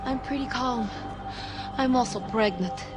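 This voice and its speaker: A young woman's fairly high, composed voice, quiet and steady under pressure, as an actress plays her. Loud music sits under the video game cutscene. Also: unhurried, natural voice. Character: frightened but steady young pregnant woman. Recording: game soundtrack music behind.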